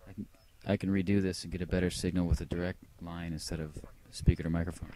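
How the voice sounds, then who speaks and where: A man talking casually and reflectively about his own old tapes, halting and rambling with a wry edge. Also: sits low, level tone, dark tone, slight background noise. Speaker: vlogger playing old answering machine tapes. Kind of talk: casual rambling personal narration.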